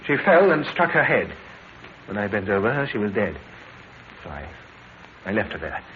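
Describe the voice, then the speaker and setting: An actor playing a nervous, stammering murder suspect in a vintage radio drama. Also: male voice, on the low side, big pitch swings, normal speed, long reverb tail. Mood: confessing. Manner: fretful, stammering, self-justifying. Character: nervous middle-class murder suspect, guilty, indignant. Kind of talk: 1950s radio drama acting. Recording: tinny noisy old radio broadcast.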